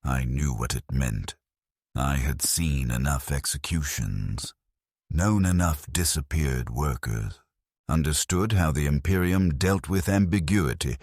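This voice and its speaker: A man's voice: rumbling low, measured pace, darkish tone, no room sound, studio quality. A man giving a grave, solemn first-person dramatic narration from a script.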